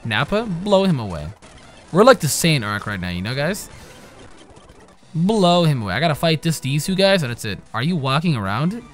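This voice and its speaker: A young man giving casual, profane let's-play commentary, trash-talking the characters and breaking into sudden loud yells. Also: fairly high pitch, very animated, moderate pace. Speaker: gaming channel host. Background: game music.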